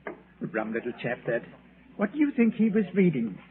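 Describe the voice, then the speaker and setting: An actor playing a dry, superior librarian in a 1940s radio drama, clipped and curious. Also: male voice, a little high, wildly swooping pitch, normal speed, a little hiss or hum. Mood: disdainful. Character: dry, disdainful English male librarian. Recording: tinny old radio broadcast.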